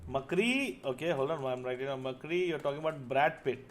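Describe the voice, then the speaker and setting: A man's voice: mid-range voice, lively intonation, brisk, a crowd in the background. A man hosting a casual group chat as a podcast host. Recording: noisy, background room ambience.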